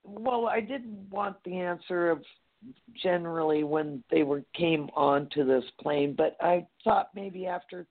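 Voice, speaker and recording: A caller with a gravelly, creaky voice who talks in a rambling way and keeps restarting sentences. The sound comes through a tinny phone line. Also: medium pitch, unhurried. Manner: rambling, many fillers and restarts. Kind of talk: phone-in conversation.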